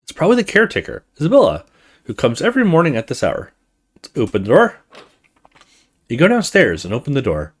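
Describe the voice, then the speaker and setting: A man reading a horror visual novel aloud as a let's play host, with expressive storytelling and casual asides. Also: mid-range voice, brisk, long pauses, slightly rough.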